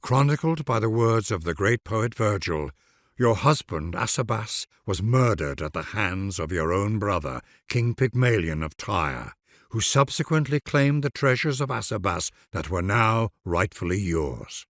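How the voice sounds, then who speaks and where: A man's voice: fairly low pitch, very animated, unhurried, no room sound, studio quality. A man reading grand scripted narration for a strategy game, addressing the listener in a formal, stately, dramatic way.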